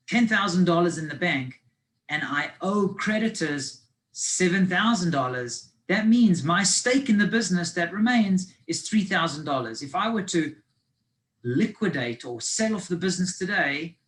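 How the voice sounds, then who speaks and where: A man's voice: fairly high pitch, a bit bright. South African man presenting on a video call. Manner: conversational and explanatory, with frequent ums and restarts. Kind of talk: live online business finance presentation.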